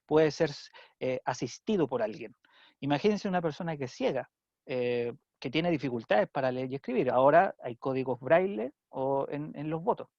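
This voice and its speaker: A man's voice: fairly high pitch, expressive, moderate pace, a little mellow, no room sound, muffled recording. A male teacher with a Chilean Spanish accent giving an online civics class, chatty and checking in often with his students. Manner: conversational, checks in with frequent "¿ya?".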